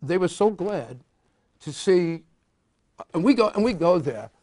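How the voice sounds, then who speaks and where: A man's voice: high voice, lively intonation, measured pace, dark tone, no room sound, clean studio sound. A man who chairs a veterans advisory council, speaking earnestly and emphatically as a guest, sometimes stumbling and restarting. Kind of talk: casual interview talk.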